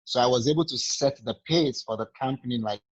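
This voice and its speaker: A man's voice: mid-range voice, brisk, husky, no echo, dull audio. A man with a Nigerian accent, talking earnestly through sales advice in a casual group training session. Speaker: sales agent.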